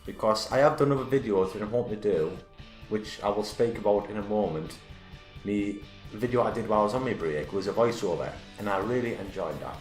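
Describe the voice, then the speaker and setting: A deep-voiced man hosts a casual channel update, talking in a loose, rambling way and often restarting his sentences. His voice sits low and carries a roomy echo. Faint music plays behind him. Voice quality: self-described deep voice. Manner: rambling, self-correcting, enthusiastic. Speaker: YouTube channel host.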